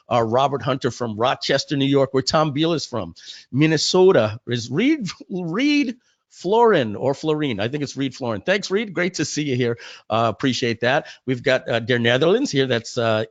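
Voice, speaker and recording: A man hosts a live webinar in a casual, chatty way. His mid-pitched voice is a little hoarse, and it comes through close and dry with a slightly muffled sound. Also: dry recording. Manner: chatty, informal, addressing the audience directly. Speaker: company founder hosting a webinar.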